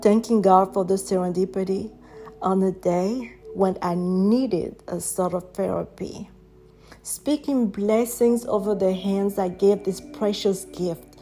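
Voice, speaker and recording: French lady reading her poem real slow and soft, faint music underneath. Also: mid-range voice. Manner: gentle, reflective, soft-spoken. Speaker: poet reading her own work.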